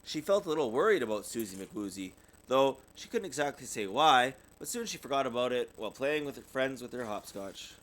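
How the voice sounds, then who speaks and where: A man's voice: mid-range voice, expressive, fairly quick, fairly bright tone. A man reading a children's story aloud expressively, like a parent, with the occasional stumble over words. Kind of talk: animated storybook narration.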